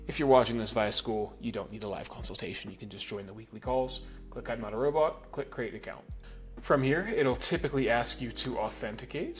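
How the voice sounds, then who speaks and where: A man raised in New Zealand with an American accent, casually explaining a software setup step by step and checking in with "okay?" and "right?". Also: mid-range voice. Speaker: email marketing instructor. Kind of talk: screen-recorded software tutorial.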